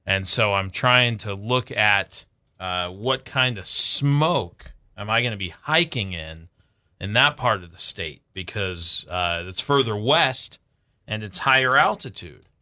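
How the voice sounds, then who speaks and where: Bright-voiced teasing male radio host. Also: lowish voice, expressive, slow pace. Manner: teasing, mock-certain, slips into mimicking voices. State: smoky, rough voice. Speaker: radio morning show co-host. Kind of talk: playful morning radio banter.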